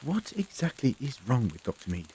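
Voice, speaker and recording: A man's voice: mid-range voice, big pitch swings, fairly quick, slight background noise, low-quality recording. A man playing an earnest, inquisitive time-travelling doctor in an audio drama, grave and precise in his explanations. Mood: concerned, questioning. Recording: loud music under the voice.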